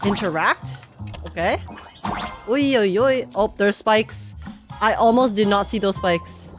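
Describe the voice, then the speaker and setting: A woman's voice: mid-range voice, measured pace, music in the background. A young woman live-streaming a game with casual, slangy reactions, expressively repeating phrases for effect.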